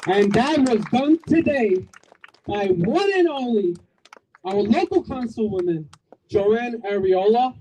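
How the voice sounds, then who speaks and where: A man's voice: high-pitched, expressive, slow, noisy background. A man from Bangladesh giving an emphatic outdoor community speech, rallying the crowd with repetition and jokes. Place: outdoors at a street corner.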